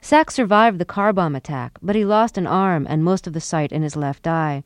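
A woman radio host reading a scripted introduction and then asking interview questions in a measured way. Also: on the low side, no room sound.

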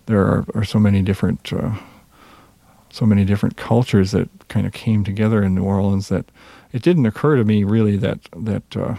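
A man with a Minnesota accent, a jazz musician answering interview questions in a reserved, hesitant way with many pauses. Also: fairly low pitch, steady tone, gravelly, dark tone, dry recording. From Minnesota, USA.

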